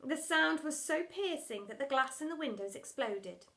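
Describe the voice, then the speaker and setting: A woman reading a children's story aloud as a storyteller, in an expressive, theatrical and deliberate manner. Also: high voice. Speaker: storyteller, school story reader.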